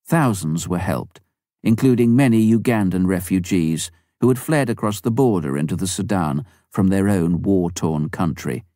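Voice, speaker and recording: A man with a very low voice reads a memoir as an audiobook, measured and earnest. The recording is close and dry, with no room sound. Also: mellow, dry recording. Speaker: audiobook reader. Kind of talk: first-person memoir narration.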